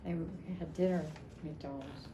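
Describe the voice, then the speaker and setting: An older woman telling a personal story to a gathering in a chatty, halting way, repeating phrases as she goes. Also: deep voice, fairly even intonation. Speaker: storyteller at a family memorial. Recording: echoey room, clear background noise.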